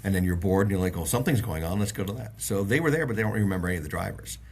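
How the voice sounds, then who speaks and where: A man's voice: low voice, animated, very fast, a little mellow, husky. A middle-aged man from the Bahamas, a guest on an interview show, telling long stories with lots of fillers and restarts. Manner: rambling, many fillers and restarts. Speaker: auto industry guest. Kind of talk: casual interview chat.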